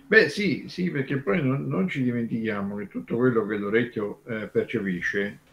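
A man from Terni explains things in an informal radio discussion, halting and discursive, stressing key words. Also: mid-range voice, vocal fry, dull audio. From Terni, Italy.

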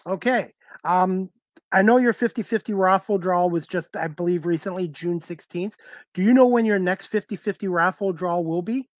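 A middle-aged man with a Canadian accent, hosting a casual interview in a halting way over a headset. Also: high-pitched, dry recording. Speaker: talk show host, interviewer. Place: indoors, on a video call.

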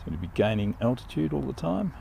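Gravelly older man narrating his flight. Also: mid-range voice, fast talker, a little hiss or hum. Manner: relaxed, enthusiastic, thinking aloud while flying. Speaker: RC pilot reviewing a plane. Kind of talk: casual live flight commentary. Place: open grassy field outdoors.

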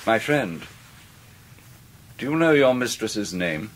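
A man playing a controlling, menacing English stepfather in a radio drama, clipped and deliberately polite. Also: fairly low pitch, very animated, a little hiss or hum. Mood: quiet menace.

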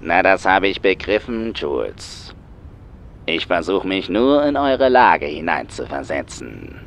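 A man acts out an unflappable crime boss in an audio play. He drawls slowly in a low voice, over faint rumbling noise. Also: very animated, vocal fry, a bit bright, exaggerated voice. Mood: unruffled. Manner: drawling, unruffled. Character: slow, unflappable male crime boss on the phone.

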